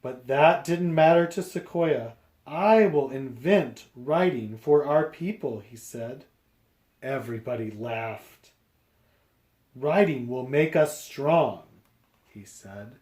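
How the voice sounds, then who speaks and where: A man reading a picture book aloud to young children, explaining deliberately phrase by phrase. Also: mid-range voice, lively intonation, darkish tone, roomy sound.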